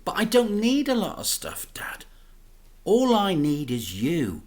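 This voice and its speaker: A man's voice: high voice, wildly swooping pitch, unhurried, a little mellow, pitched above the performer's own voice, full character voice. A man doing an earnest young boy.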